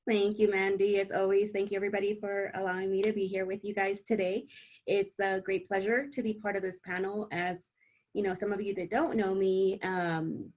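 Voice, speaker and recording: A woman's voice: medium pitch, little pitch movement, moderate pace, a little mellow. A woman from El Salvador, a native Spanish speaker, talking informally as a panelist and sharing personal experience. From born in El Salvador.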